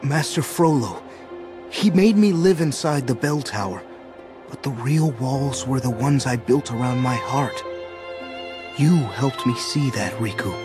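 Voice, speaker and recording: A man playing a shy, gentle young bell ringer in a video game, hesitant and earnest. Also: medium pitch, expressive, a little slow, music in the background, natural voice. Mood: earnest, reflective. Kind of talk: video game cutscene acting.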